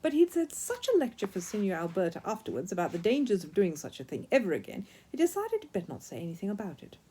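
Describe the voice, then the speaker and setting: A woman reading a children's story aloud as an expressive narrator. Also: mid-range voice, quick pace, breathy edge. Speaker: storyteller, audiobook reader.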